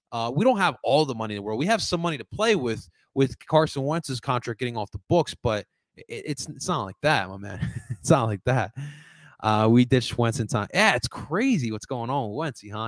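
A man's voice: medium pitch, no echo. A talkative man with a light Latin American Spanish accent hosts a casual sports stream, stumbling over and repeating words as he answers his viewers. His mid-range voice is recorded close and dry, with no room sound. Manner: rambling, repeats words, laughs often, chats to viewers. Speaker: sports podcast host reading live chat.

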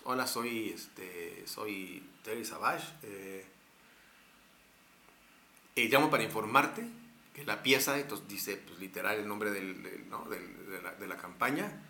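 Bright-voiced Mexican man rambling through a story. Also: fairly low pitch, slow pace, gravelly, reverberant, slight background noise. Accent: Mexican Spanish. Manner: rambling, many fillers and restarts. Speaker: guest telling a story. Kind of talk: casual storytelling, slangy.

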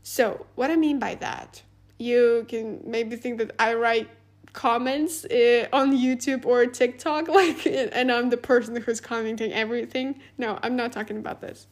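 High-voiced woman. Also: measured pace. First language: Russian. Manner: chatty, animated, laughs often, many "like" fillers. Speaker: podcast host. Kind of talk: casual advice monologue.

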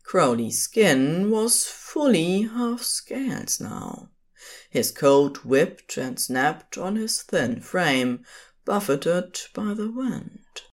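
A woman's voice: lowish voice, sing-song, very slow. A woman reading scripted fiction as the narrator of an audio drama.

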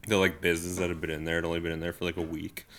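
A man co-hosting and editing a casual podcast, telling stories in a relaxed way with dry humor. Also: deep voice, very fast. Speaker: podcast co-host and editor. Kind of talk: casual chat.

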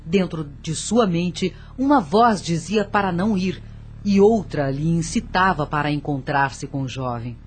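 A woman's voice: lowish voice, a little slow, a little hiss or hum. A woman narrating a radio drama from a script.

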